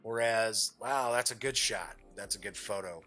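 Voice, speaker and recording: A man's voice: lowish voice, normal speed, sharp tone, soft background music. A man hosting a game show, giving casual, off-the-cuff unboxing commentary in a chatty, jokey way, often muttering asides to himself. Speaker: host of a tabletop game review show.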